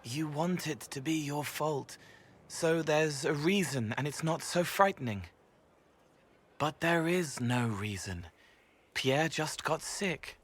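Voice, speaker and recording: A slow, breathy, gentle young spirit. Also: male voice, on the high side, animated, exaggerated voice. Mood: earnest, soothing. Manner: halting, dreamlike, speaks in poetic fragments. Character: gentle young male spirit who senses others' pain. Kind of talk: video game voice acting.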